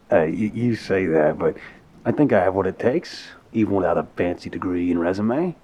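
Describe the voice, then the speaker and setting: A man's voice: low-pitched, animated, mellow. A man acting an apologetic, lovesick mafia boss in an intimate audio roleplay, speaking hesitantly and sincerely with sighs. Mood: hopeful, tender. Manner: halting, hesitant, sighing, sincere.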